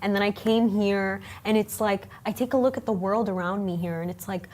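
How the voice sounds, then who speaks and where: A woman in her twenties with a mid-range voice, co-hosting a studio chat. She talks in a lively, animated way and leans heavily on "you know" and "um". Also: medium pitch, brisk. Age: 20s. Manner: chatty, animated, many fillers like "you know". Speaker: co-host.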